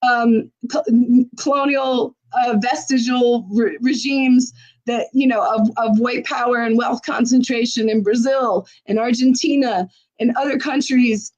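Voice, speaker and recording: A woman's voice: fairly high pitch, steady tone, unhurried, darkish tone, rough recording. A woman hosting a livestream fundraiser, losing her voice, urging viewers with urgent, exhorting talk. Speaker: livestream host.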